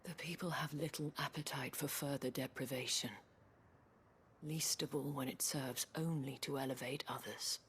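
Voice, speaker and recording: An actress playing a worldly, anxious dame in a video game, measured, eloquent and weary, with a breathy, hushed delivery. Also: female voice, extremely low pitch, steady tone, a little hiss or hum. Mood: bitter, resigned. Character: worldly, anxious dame looking after her wards. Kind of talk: scripted video game drama.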